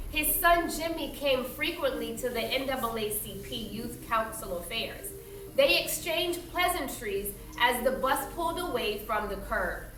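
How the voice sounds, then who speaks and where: A woman with a slightly high voice reads a children's story aloud like a teacher. She is expressive and instructive, pausing to explain things simply. The sound is clearly echoey and a bit far from the mic, with faint noise behind her. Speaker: teacher reading a picture book aloud. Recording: roomy echo, distant mic, faint noise.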